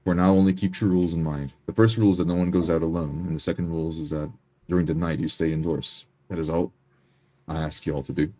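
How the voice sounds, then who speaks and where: A man playing a stern, solemn leader in a tabletop roleplay, speaking in a measured, formal way. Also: low voice, steady tone, mellow, dry recording. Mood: stern, calm. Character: stern, solemn male leader laying down rules.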